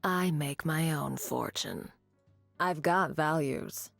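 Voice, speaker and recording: A woman voicing a cocky, greedy mercenary desert warrior in a video game, husky and gravelly, with dry, sardonic delivery. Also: sits low, animated, slow pace, a bit bright, no room sound, soft background music, full character voice. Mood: cool, sardonic. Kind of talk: video game character voice lines.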